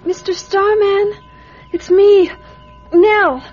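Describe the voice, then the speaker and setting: A woman's voice: very high voice, fairly even intonation, extremely slow, darkish tone, soft background music, full character voice. A woman playing an earnest, curious nine-year-old farm girl in a radio drama, with a childlike, hesitant, pleading manner. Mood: earnest, worried. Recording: dull radio recording, faint noise.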